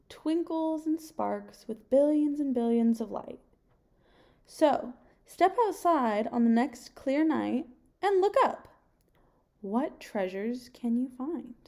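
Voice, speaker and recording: Slow, mellow young woman reading to children. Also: a little high. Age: young adult. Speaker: teacher reading a picture book aloud. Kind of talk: children's read-aloud with questions to listeners. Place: home kitchen, indoors.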